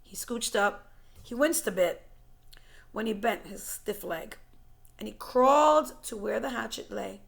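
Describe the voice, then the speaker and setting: A woman reading a story aloud in an expressive, dramatic way, stressing key words and sometimes dropping to a hush. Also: mid-range voice, a little slow, creaky. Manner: dramatic, emphatic, dropping to hushed tones. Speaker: teacher reading a book aloud.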